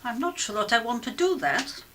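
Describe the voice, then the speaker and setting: An actress playing a composed, supportive middle-aged English mother in a radio drama, measured and gently questioning. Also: on the high side, sing-song. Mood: concerned, questioning.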